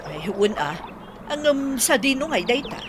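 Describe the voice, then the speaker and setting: A woman's voice: high-pitched, big pitch swings, moderate pace, background noise. A woman playing a nosy, gossipy neighbour in an audio drama. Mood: prying.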